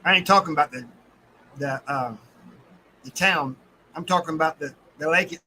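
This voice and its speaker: A man's voice: fairly high pitch, moderate pace, sharp tone, slight background noise, low-quality recording. An American man hosting a casual livestream, talking in a folksy, colloquial way and addressing his viewers by name. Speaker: livestream host.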